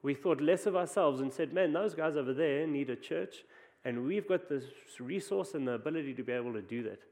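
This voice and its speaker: South African preacher in a reverberant hall. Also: male voice, a little high, a little fast, darkish tone. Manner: conversational preaching with frequent restarts. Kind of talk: sermon.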